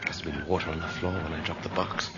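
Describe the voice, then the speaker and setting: An actor playing a frightened but defiant skeptic trapped in the dark in a radio horror drama, muttering to himself and flaring into anger. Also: male voice, deep voice, fairly even intonation, fast talker, over loud music, natural voice. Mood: uneasy muttering. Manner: muttering to himself, bursting into angry shouts. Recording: lo-fi hissy radio drama recording.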